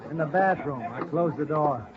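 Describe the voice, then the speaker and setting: A man playing an excitable, brisk, officious hotel detective in a 1950s radio drama. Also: on the high side, over loud music, close to an everyday voice. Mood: agitated, officious. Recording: muffled, noisy old radio broadcast.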